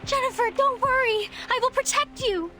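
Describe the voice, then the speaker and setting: Video game character, innocent young village girl, very high pitch, gentle, polite, earnest, faint music behind. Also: normal speed. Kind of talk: dramatic video game voice acting.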